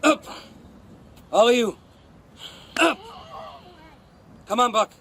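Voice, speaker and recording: An actor playing a harsh, stubborn, defensive greenhorn husband driving a dog sled in a radio drama, barking commands. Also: male voice, very high-pitched, animated, takes it slowly, a bit bright, a little hiss or hum, full character voice. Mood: furious.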